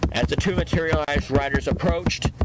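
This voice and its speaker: A man's voice: a little high, normal speed, very noisy. A man reading scripted third-person narration for a dramatic superhero audio story.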